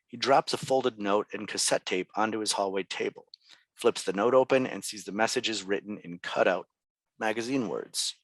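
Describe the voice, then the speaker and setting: A man's voice: on the low side, moderate pace, no echo, low-quality recording. A man reading scene directions as scripted narration at a table read. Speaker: stage directions reader.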